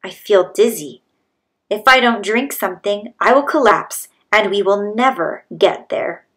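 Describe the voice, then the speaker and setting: A woman's voice: medium pitch, unhurried, fairly bright tone, close to an everyday voice. A woman voicing a sly, greedy male coyote in a children's storybook, wheedling and demanding. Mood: needy, demanding. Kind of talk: children's storybook read-aloud.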